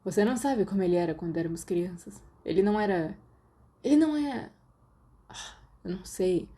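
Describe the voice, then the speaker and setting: Audiobook role: teenage boy played by a woman, animated, teasing, brooding, mellow tone. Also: mid-range voice, fairly quick, husky. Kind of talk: audiobook character dialogue.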